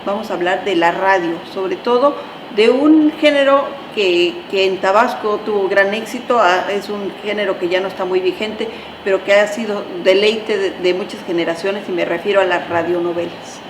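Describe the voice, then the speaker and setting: A woman's voice: medium pitch, normal speed. A woman with a Mexican accent hosting an interview indoors in a bookstore. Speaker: interviewer, host. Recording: roomy echo, clear background room noise.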